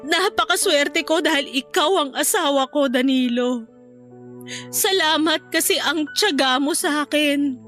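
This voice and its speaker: A woman's voice: sits high, measured pace, a bit bright, soft background music. A woman playing a tender, remorseful wife and mother in grief, speaking earnestly and emotionally in a radio-style drama. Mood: loving, grateful.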